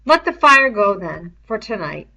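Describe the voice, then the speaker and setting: A woman's voice: medium pitch, sing-song, measured pace, gravelly, bright, forceful tone, heavily acted, low-quality recording. A woman voicing a worried, impatient schoolboy leader with a terse, commanding manner in a novel read-aloud. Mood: weary, puzzled.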